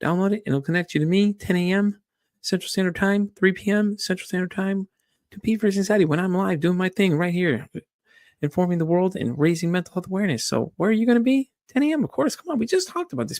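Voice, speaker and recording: A man's voice: high-pitched, lively intonation, fast talker, no echo, studio quality. A man hosting his podcast in casual chat, joking and chatty with his listeners. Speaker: podcast host.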